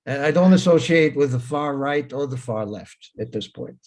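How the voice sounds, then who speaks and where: A man's voice: mid-range voice, animated, moderate pace, darkish tone. A man in his seventies whose first language is Hebrew, talking casually over a video call, plain-spoken and wry, often repeating his words. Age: 70s. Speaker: speaker at a talk. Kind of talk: casual talk.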